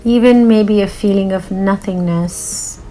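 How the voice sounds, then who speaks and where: Slow-speaking woman guiding meditation. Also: medium pitch, dark tone, faint music behind, poor audio. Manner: soothing, unhurried, with long pauses. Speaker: meditation guide. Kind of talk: guided meditation.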